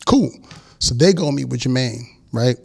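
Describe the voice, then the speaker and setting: A man's voice: mid-range voice, animated, normal speed, vocal fry, darkish tone, husky. A man in his forties, a guest record producer, telling his story in a casual interview and acting out the quotes of the people in it.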